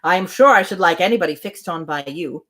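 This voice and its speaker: A flippant young gentleman out of a classic storybook, played by a woman reader. The delivery is animated and breezy, with formal wording and a playful tilt. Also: fairly low pitch, fast talker. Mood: lively, teasing. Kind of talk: storybook read aloud.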